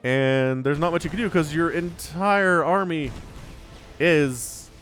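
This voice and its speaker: A man giving casual, chatty live commentary on a video game as a shoutcaster, a bit sniffly with a cold. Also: fairly high pitch, takes it slowly. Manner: chatty, informal, slangy asides. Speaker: esports shoutcaster. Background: music.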